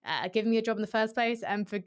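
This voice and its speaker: A young woman esports host, a guest on a video call, talking candidly and animatedly through a headset. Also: on the high side. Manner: candid, animated, quick with restarts and fillers. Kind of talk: casual interview chat. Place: small room at home.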